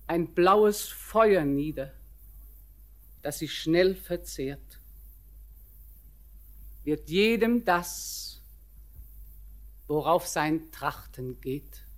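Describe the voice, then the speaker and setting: An actress playing an old blind grandmother in a classic radio play, speaking measured verse. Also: mid-range voice. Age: older. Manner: slow, measured verse speech.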